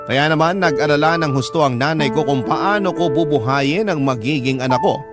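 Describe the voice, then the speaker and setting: A man's voice: fairly high pitch, normal speed, close to an everyday voice. A man telling a young father's confession. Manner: plain, confessional storytelling. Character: young father recounting his past in a letter. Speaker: narrator. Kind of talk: letter narration for a radio drama. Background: loud music.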